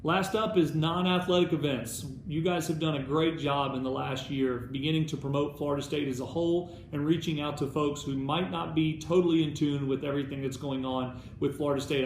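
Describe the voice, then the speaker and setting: A man's voice: on the high side, steady tone, a little fast, hardly any pauses. An American man giving an encouraging, instructive, semi-scripted update to volunteers as a staff presenter. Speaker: alumni club staff presenter. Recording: roomy echo, background noise.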